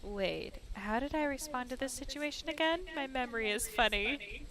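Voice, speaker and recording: A woman hosting a game playthrough, reading a visual novel aloud with sarcastic, sweary, expressive commentary. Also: high voice, moderate pace, a crowd in the background. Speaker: gaming video host. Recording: music behind the voice.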